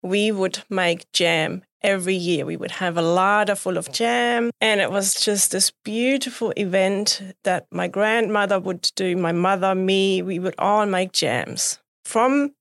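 A woman's voice: medium pitch, steady tone, measured pace, vocal fry, few pauses, no room sound, studio quality. A woman from Germany, a guest in an interview, talking in an enthusiastic, chatty way. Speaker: guest, food business founder. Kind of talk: casual interview chat.

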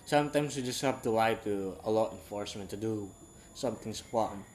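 Young man, 18, from Southeast Asia, non-native English speaker, frank and halting, stops to search for words; casual confessional monologue. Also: lowish voice, darkish tone, a little hiss or hum. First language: not English. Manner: halting, rambling, frank, self-correcting.

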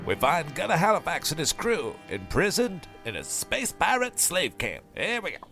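Male performer as theatrical space-serial announcer, very animated pitch, creaky edge, music behind. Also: moderate pace, heavily acted. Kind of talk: radio serial narration.

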